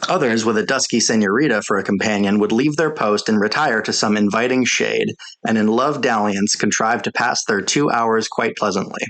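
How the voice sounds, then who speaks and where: A man's voice: on the low side, normal speed, hardly any pauses, a bit bright. A man reading a soldier's memoir aloud as scripted audiobook narration.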